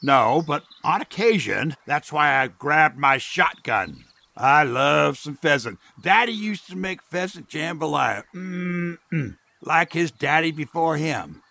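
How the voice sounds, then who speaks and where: Prickly old orange farmer for audio drama, creaky, forceful voice, folksy and suspicious. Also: male voice, a little high, lively intonation, slow, dry recording, exaggerated voice. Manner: folksy, blunt. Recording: faint outdoor insect ambience behind.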